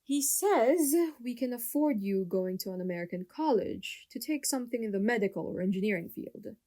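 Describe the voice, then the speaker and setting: A woman playing a strict, practical, disapproving mother in scripted animated drama dialogue. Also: mid-range voice, fairly quick, natural voice. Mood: matter-of-fact. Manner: stern, admonishing.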